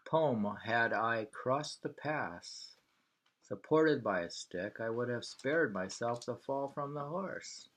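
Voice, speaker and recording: A man reading aloud from a book in an unhurried, musing way, with casual conversational asides. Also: mid-range voice, animated, fairly bright tone. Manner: unhurried, musing, pausing to hum and remark. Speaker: reader of a travel book and poems, commenting.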